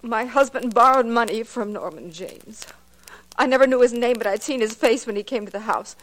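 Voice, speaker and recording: Actress as distressed widow, raised pitch, insistent emotional delivery, 1940s radio crime drama. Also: female voice, normal speed, close to an everyday voice, low-quality recording. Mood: reluctant, distressed. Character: distressed, defensive widow of a murdered man.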